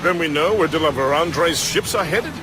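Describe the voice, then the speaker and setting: An actor as a jovial, high-voiced gentleman first mate. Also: fairly quick, close to an everyday voice. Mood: genial. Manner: florid, wry, theatrical. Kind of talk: theatrical period game cutscene acting. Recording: waves and ship noise behind voice.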